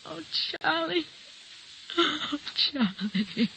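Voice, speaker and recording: An actress playing an anxious, devoted, emotional sweetheart in an old-time radio drama. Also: female voice, sits high, animated, very slow, gravelly, exaggerated voice. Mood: fearful, pleading. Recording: hissy old radio recording.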